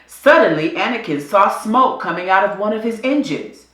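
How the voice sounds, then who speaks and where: Expressive woman reading a story, roomy sound. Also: medium pitch, moderate pace. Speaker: storybook reader. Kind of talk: dramatic scripted children's story narration.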